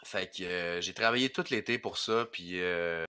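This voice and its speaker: A young Québécois man with a head cold talks in a lowish, bright, forceful voice. He wanders through his stories with plenty of fillers and restarts, in a loose, casual chat. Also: normal speed, clear, resonant tone. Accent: Québécois French. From rural Quebec. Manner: rambling, hesitant, with many fillers. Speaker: podcast guest and streamer.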